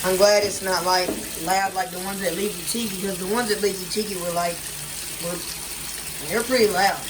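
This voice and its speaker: A man's voice: high-pitched, fairly even intonation, normal speed. Rambling man over running water. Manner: rambling, hesitant, lots of fillers and restarts. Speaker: vlogger. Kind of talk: casual home walkthrough vlog. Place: indoor condo kitchen by a running washer. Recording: noisy with running water and appliance sounds.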